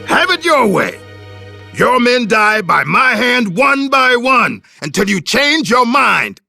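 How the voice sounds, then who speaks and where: A man's voice: very high voice, big pitch swings, slow, creaky, over music, full character voice. A man voicing a cruel, gloating officer in a video game, with a theatrical, sneering and menacing delivery. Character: cruel gloating male intelligence officer interrogating a captive. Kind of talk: dramatic video game voice acting.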